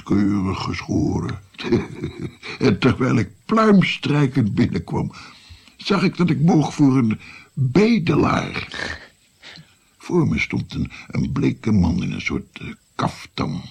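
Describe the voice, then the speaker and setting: An old grandfather in a radio drama, looking back on a dark past. His voice is low, gravelly and breathy, swinging widely in pitch. He speaks in a halting, musing way and often sinks toward a whisper. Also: exaggerated voice. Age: older.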